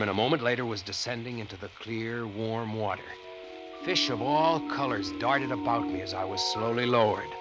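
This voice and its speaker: A man plays an earnest diver and treasure hunter recounting an eerie adventure. His mid-range voice has the dramatic, deliberate delivery of vintage radio suspense. Loud music runs through the old broadcast recording. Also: medium pitch, natural voice. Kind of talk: 1940s radio suspense narration and acting.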